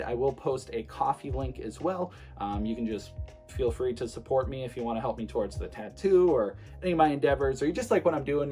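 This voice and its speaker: A man in his late twenties, a streamer, talking casually to his viewers in a relaxed, rambling way with many fillers. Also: medium pitch. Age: 20s. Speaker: streamer and vlogger. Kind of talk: casual talk to camera.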